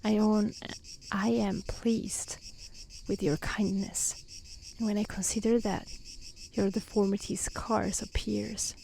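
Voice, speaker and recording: A woman voicing a kind, honest and polite young woman in a soft-spoken fairy tale reading, with an earnest manner. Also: mid-range voice, flat delivery, slow pace, creaky, long pauses, a little hiss or hum. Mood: kind, reassuring.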